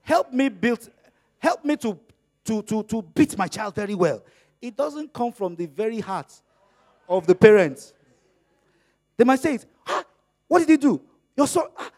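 Animated high-voiced preacher, Yoruba speaker. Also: male voice. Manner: animated, acts out dialogues with rising pitch and volume. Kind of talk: sermon.

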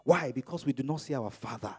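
A middle-aged man whose first language is Yoruba, preaching a sermon in an animated way, acting out little dialogues as he goes. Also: fast, husky. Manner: animated, acts out dialogues with rising pitch and volume.

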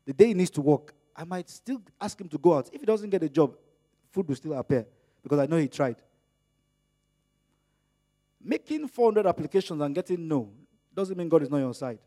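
A middle-aged man whose first language is Yoruba, preaching a sermon in an animated way, acting out little dialogues as he goes. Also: a little fast, pauses often. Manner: animated, acts out dialogues with rising pitch and volume.

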